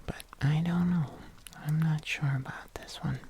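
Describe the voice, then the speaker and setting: An ASMR performer playing a kindly, patient locksmith, murmuring gently and unhurriedly to the listener, close to the microphone. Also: medium pitch, fairly even intonation, measured pace, background noise. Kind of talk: whispered ASMR roleplay.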